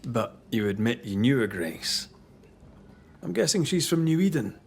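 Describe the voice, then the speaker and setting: An actor playing a shrewd, persistent investigator of hauntings in a video game cutscene, probing and wry. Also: male voice, mid-range voice, very animated, normal speed, gravelly, slight background noise.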